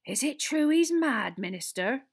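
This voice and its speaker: A forthright pub landlady performed by a woman storyteller in a storybook. She is nosy and gossipy, with lively, higher-pitched speech. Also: a little slow, breathy edge, full character voice. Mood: curious.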